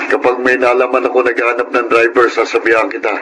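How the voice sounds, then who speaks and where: Blunt, commanding male employer , acted by a man, medium pitch, radio drama delivery, noisy track. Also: completely flat delivery, quick pace, lightly acted. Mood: matter-of-fact. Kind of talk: Filipino radio drama acting.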